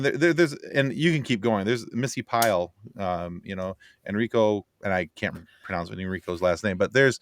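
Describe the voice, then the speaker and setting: A middle-aged man with a fairly deep voice, recorded very dry. He chats casually about films as a podcast panelist, circling back over his phrases as he works toward a point. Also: a bit bright, no echo. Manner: rambling, repeats phrases as he builds a point. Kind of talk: casual film chat.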